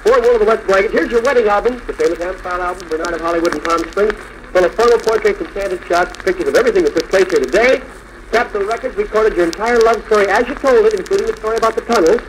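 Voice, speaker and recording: An old, clearly noisy radio recording from the 1940s carries a male show host. His voice sits high for a man, and he talks quickly in the presenting style of that era. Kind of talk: 1940s radio hosting, announcing gifts.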